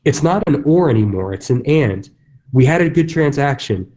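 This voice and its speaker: A man's voice: medium pitch, lively intonation, normal speed, warm tone. A man who works as a real estate agent, speaking casually at a conference session, loose with fillers and restarts. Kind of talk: conference stage talk.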